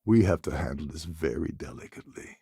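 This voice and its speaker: A man playing a gruff, sardonic space pirate con man in a video game, with a gravelly, husky voice and a wry, scheming manner. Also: rumbling low, expressive, a little fast, mellow, dry recording, studio quality, heavily acted. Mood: sly, measured. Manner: wry, sarcastic, world-weary.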